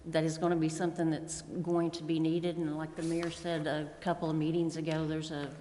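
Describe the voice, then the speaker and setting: A woman's voice: low voice, flat delivery, a little fast, dark tone. A middle-aged woman making informal, slightly rambling remarks as a council member at a public meeting. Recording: echoey meeting chamber with background noise.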